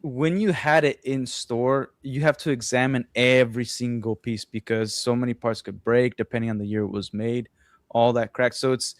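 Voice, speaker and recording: A man's voice: medium pitch, steady tone, normal speed, no echo. A man speaking English as a non-native language co-hosts a casual livestream over a video call. His voice sits mid-range for a man and comes through dry and close. He talks at length and explains his points with plenty of fillers. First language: not English. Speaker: co-host.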